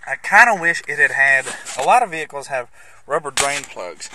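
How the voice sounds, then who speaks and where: A man giving a casual, hands-on walkthrough as a video host, with somewhat halting, hesitant phrasing. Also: on the high side, animated. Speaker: host of a how-to video on CB radio installation. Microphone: handheld camera's built-in microphone. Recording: clicky handheld camera audio, background noise.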